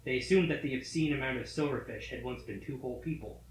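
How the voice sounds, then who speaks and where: A man reading a scripted horror story in a measured, matter-of-fact manner. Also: mid-range voice. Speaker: story reader. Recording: roomy echo. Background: faint music.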